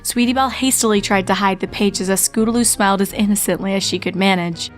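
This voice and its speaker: Woman reading a story out loud, mid pitch, steady, faint music under it. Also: fast talker. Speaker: narrator. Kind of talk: fanfic audiobook reading.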